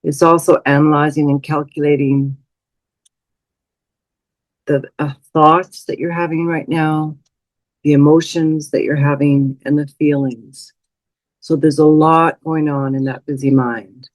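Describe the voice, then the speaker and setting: A woman leading a guided meditation as a teacher, speaking in a soothing, unhurried way with long pauses. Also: low-pitched, little pitch movement, darkish tone, no echo.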